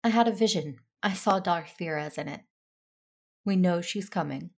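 A woman playing a calm, firm Jedi aunt in an audio drama, measured and persuasive, with a creaky voice. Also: lowish voice. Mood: grave, persuasive. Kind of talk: audio drama acting with first-person narration.

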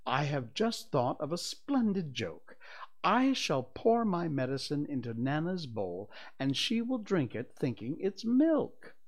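Storybook father, voiced by a man: pompous coward, indignant and blustering, animated pitch, deliberate pace. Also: heavily acted. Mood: sheepish, entreating. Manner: pompous, indignant, self-justifying.

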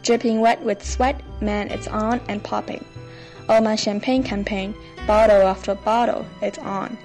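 A woman's voice: medium pitch, steady tone, unhurried, darkish tone. A woman co-hosting a podcast, doing a dramatic spoken reading of rap lyrics. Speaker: podcast co-host. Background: faint music.

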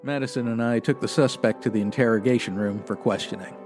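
A man playing a stoic, matter-of-fact 1950s police detective, with clipped, monotone delivery in old-time radio style. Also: fairly low pitch, fast, soft background music, lightly acted. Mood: deadpan.

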